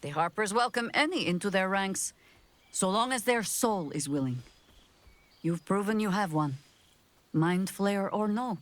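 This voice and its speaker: A woman acts an older freedom-fighter leader in a fantasy video game. She sounds seasoned and weary, with measured phrasing and a dry, gently wry edge. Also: mid-range voice, expressive, close to an everyday voice. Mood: weary, resolute. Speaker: leader of a secret order. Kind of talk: video game character acting.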